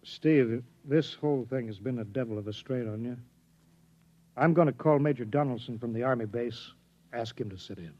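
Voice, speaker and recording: Actor as a blunt skeptic, dull vintage radio sound. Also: male voice, mid-range voice, normal speed, dark tone, natural voice. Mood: doubtful concern. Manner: blunt, disbelieving. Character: worried, skeptical male colleague of a test pilot. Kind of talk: 1950s radio drama acting. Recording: dull old radio recording.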